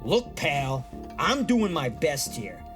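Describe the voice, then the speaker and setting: A man voices a wisecracking psychic for an audio drama, slightly high for a male and very lively in pitch. He is gruff and impatient, with slangy wise-guy phrasing. Music plays clearly behind him. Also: slow pace. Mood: strained, urgent.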